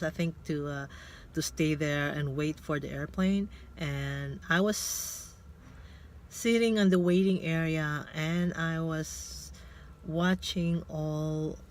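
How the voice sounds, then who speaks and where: Slow, pausing woman in her fifties. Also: lowish voice. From Philippines. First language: Tagalog. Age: 50s. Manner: hesitant, reflective, thinks aloud with many pauses. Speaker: vlogger. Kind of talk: casual personal storytelling. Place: inside a car.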